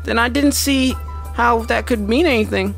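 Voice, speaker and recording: A woman's voice: fairly high pitch, moderate pace, creaky, faint music behind. A woman acting a fretful, evasive wife in an amateur radio mystery drama. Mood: flustered, evasive. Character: fretful, evasive wife hosting a dinner party.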